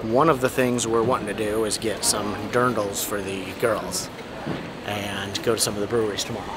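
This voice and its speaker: A man's voice: lowish voice, fairly even intonation, normal speed, heavy background noise. A middle-aged man, talking casually to a camera held at arm's length as a travel vlogger. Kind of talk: casual vlog narration to camera. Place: on location around a city, indoors and outdoors.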